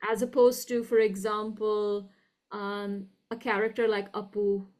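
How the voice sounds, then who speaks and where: A woman with an educated upper-class Indian English accent, speaking reflectively and articulately in an interview, deliberate and emphatic, often checking in with "right?". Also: mid-range voice, flat delivery, darkish tone. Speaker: guest, university professor.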